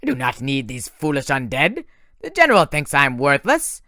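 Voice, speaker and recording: A man's voice: mid-range voice, wildly swooping pitch, normal speed, bright, forceful tone, no room sound, studio quality, pitched above the performer's own voice. A man voicing a menacing male monster who speaks with a heavy French accent and gloats over his captives. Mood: gloating.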